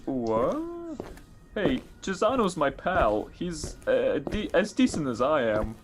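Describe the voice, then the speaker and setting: A man's voice: fairly high pitch, big pitch swings, takes it slowly. A man playing a dim, shifty, defensive ex-con in a 1940s-style detective radio play, stammering and talking in slang. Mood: evasive, nervous. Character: dim, shifty, defensive male small-time ex-con crook.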